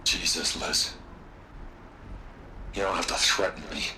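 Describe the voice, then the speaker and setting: An actor playing a cornered, guilt-ridden male corporate tycoon in a video game, pleading and halting. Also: deep voice, fairly even intonation, bright, forceful tone, slight background noise, exaggerated voice. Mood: defeated. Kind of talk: dramatic video game acting.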